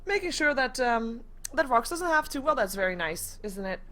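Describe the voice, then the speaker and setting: A woman casting an esports match live in a casual, chatty, expressive way. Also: high-pitched, moderate pace. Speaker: esports commentator.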